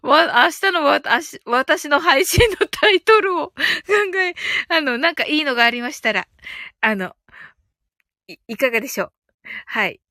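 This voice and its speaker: A woman with a high voice hosts a casual live stream, recorded close and dry. She sounds cheerful and giggly, often thinking aloud while chatting with listeners and reading their comments. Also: lively intonation, normal speed, pauses often, pushed and bright, dry recording. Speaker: host of a live audio stream.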